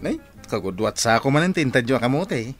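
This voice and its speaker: Man acting as eager young son, radio drama, Northern Philippine accent, playful, highly animated, prominent background music. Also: fairly quick, close to an everyday voice. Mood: friendly, teasing.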